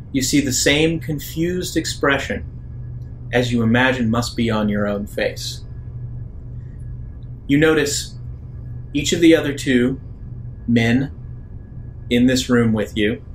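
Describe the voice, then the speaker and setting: Slow-paced man narrating a tabletop game. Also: mid-range voice, a little hiss or hum, low-quality recording. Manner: halting, pausing between short phrases. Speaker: game master.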